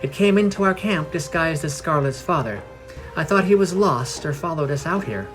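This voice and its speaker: A man's voice: on the high side, lively intonation, normal speed, a bit bright, pitched above the performer's own voice. Man reading as kindly, frank female cleric, music behind. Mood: matter-of-fact. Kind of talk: storybook character dialogue.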